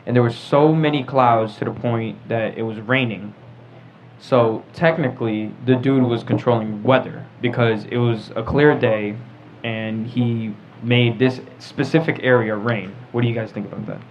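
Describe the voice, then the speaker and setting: A man's voice: medium pitch, fairly even intonation, moderate pace. Teenage boy hosting, dull noisy recording. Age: teens. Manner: prompting with frequent "right?" tags. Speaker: podcast host. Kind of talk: casual group discussion. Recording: dull lo-fi audio, background noise.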